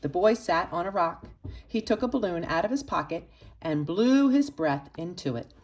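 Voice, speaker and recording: A woman reading a children's picture book aloud expressively, with dramatic emphasis and pauses for young listeners. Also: lowish voice, lively intonation, a little mellow. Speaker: storybook reader for children's church.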